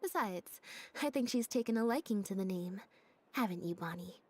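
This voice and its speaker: An actress voicing a deadpan, understated young female magician's assistant with robot-like habits in a video game. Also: mid-range voice, normal speed, a little hiss or hum, close to an everyday voice. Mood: soft, matter-of-fact. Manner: deadpan, understated, matter-of-fact. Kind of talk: video game character dialogue. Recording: game audio with music behind. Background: background music.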